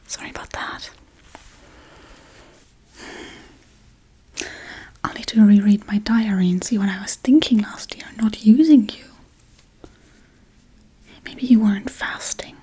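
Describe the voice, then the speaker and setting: A woman playing a scatterbrained, bossy witch in a whispered ASMR role-play, with breathy, casual, deadpan delivery. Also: medium pitch, unhurried, pauses often. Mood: matter-of-fact. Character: scatterbrained, bossy Halloween witch tending her captive.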